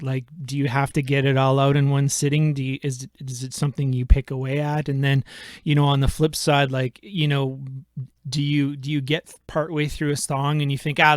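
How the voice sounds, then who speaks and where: A man's voice: mid-range voice, dry recording, clean studio sound. A man hosting a radio interview, asking long, rambling questions full of fillers and hedges. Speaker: radio show host.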